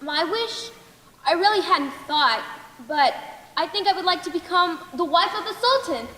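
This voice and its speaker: A woman's voice: extremely high pitch, animated, moderate pace, pushed and bright, heavily acted. A young teen girl on a school stage playing a kind, earnest youngest sister who becomes queen. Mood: modest, earnest. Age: young teen middle schooler. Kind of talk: school stage play acting, projected lines. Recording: echoey reverberant hall stage recording.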